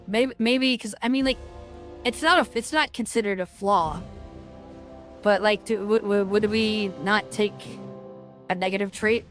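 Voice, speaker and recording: A woman talking through her game as a streamer, rambling and filling her talk with "like" and restarts, with a loud voice. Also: medium pitch, measured pace, pauses often, faint music behind. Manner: rambling, lots of fillers and restarts. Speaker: gaming streamer. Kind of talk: casual game commentary.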